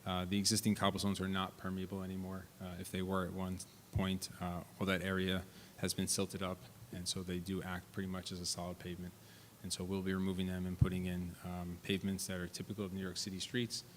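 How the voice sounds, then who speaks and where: A man with a very low, utterly flat voice answers questions plainly and technically, with many fillers. The sound has a long hall echo and faint noise behind it. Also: fast, hardly any pauses. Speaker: project engineer answering questions. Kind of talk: hearing presentation.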